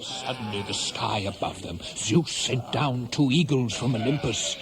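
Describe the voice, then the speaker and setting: A man's voice: mid-range voice, unhurried, a crowd in the background. A man narrating a scripted radio play as a dramatic, theatrical storyteller.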